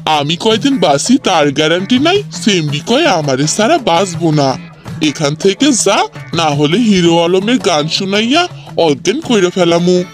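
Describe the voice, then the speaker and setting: A man's voice: sits high, very animated, moderate pace, soft background music. A man with a Bangladeshi accent voicing a hot-headed, unschooled village tea seller in a comic cartoon, scolding and blustering in coarse rustic speech. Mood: indignant, scornful. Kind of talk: exaggerated comic cartoon dubbing.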